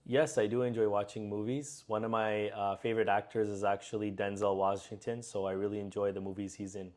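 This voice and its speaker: A young man, answering a mock speaking test in measured, slightly hesitant replies. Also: on the low side, flat delivery, a little mellow. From Spain, raised in Canada. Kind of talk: answering interview questions.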